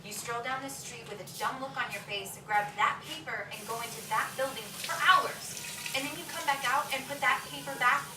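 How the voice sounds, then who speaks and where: A woman's voice: sits high, fairly quick, lightly acted. A woman playing a blunt, incredulous, scornful woman at a script table read. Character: blunt, mocking woman, a scornful reality check. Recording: echoey room, distant mic, loud noise.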